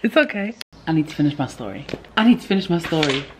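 A young woman chatting to a handheld camera in a room, rambling and lively as she talks. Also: sits low, fast talker, noisy background. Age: young adult. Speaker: vlogger. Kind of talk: casual chat to camera. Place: indoor room with bookshelves at a retreat. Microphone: handheld camera at arm's length.

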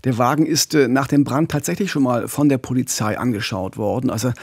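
A man's voice: medium pitch, fairly quick, darkish tone, breathy edge, no room sound. A middle-aged man co-hosting a true-crime podcast, telling a case conversationally into a close studio microphone. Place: small indoor podcast studio. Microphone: close studio condenser microphone with pop filter.

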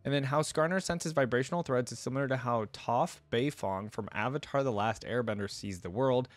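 A young man hosts a reaction video in a chatty, informal way. His voice sits at a middling pitch. He moves between casual comments and reading aloud, recorded dry and close on a desk microphone. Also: fairly quick, no room sound. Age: young adult. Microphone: desktop microphone close to the mouth.

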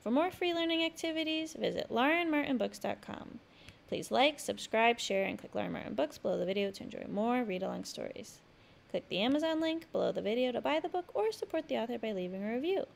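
A woman reads aloud for young children as a host and storyteller. Her voice sits fairly high and swings widely in pitch, with a clearly creaky edge. She delivers each phrase expressively and with emphasis. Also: animated, a little fast. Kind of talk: expressive picture-book read-aloud narration.

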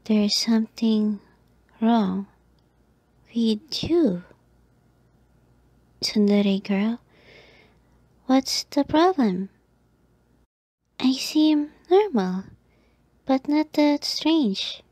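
A woman playing a breathy, slow yandere girl. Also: a little high, a little mellow, no room sound. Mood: concerned, defensive. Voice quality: breathy, often dropping to whispers. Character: sweet, watchful yandere girl, a childhood friend. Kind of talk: ASMR roleplay acting.

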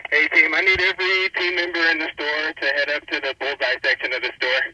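A man working in a store gives calm, businesslike instructions to his team over a walkie-talkie. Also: a little high, fast. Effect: walkie-talkie radio. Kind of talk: workplace radio announcements. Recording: muffled walkie-talkie with loud noise.

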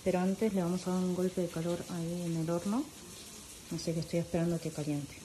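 A woman's voice: lowish voice, fairly quick. Young woman vlogger, casual narration, phone mic, heavy background noise. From Korea. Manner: relaxed, chatty commentary to camera. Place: home kitchen, indoors.

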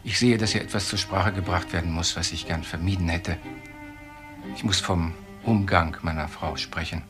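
An actor playing a composed, authoritative professor in a radio crime drama, speaking formally with measured pauses. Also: male voice, deep voice, fairly even intonation, music in the background, lightly acted. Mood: controlled, grave. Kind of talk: naturalistic German radio crime drama acting.

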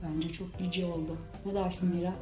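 A woman's voice: on the low side, flat delivery, a little fast, a little mellow, echoey room, faint music behind. A woman hosting a video, chatting casually and playfully, with exclamations during a makeup challenge. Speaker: video host.

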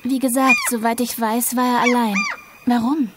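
A woman's voice: on the high side, little pitch movement, moderate pace, noisy background, natural voice. A woman playing an uneasy, defensive carer in a crime audio drama, speaking earnestly. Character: uneasy, defensive female carer of an old man.

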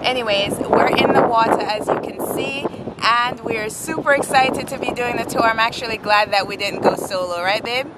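Woman vlogger, slightly high, chatty and joking, outdoors on the water, heavy outdoor noise. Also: moderate pace. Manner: chatty, laughing, teasing family. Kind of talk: casual vlog chat. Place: outdoors on a creek in a kayak.

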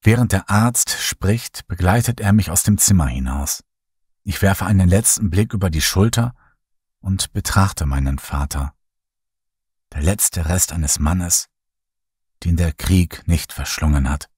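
Male horror-audiobook reader; husky, fairly low, measured and dramatic, dry close recording. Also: a little mellow, no echo. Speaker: horror story narrator. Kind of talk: scripted audiobook narration.

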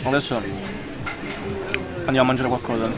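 A man's voice: mid-range voice, little pitch movement, fairly quick. A young man from Sardinia, chatting casually to camera as a travel vlogger. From Sardinia, Italy. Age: young adult. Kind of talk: casual vlog chat to camera. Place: mostly in a car, also street café and outdoors. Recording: music behind the voice.

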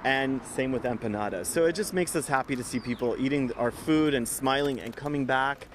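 A man in his thirties, a bakery co-owner, answering in a live TV interview outdoors in a relaxed, slightly halting way. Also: mid-range voice, slight background noise. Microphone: reporter's handheld mic.

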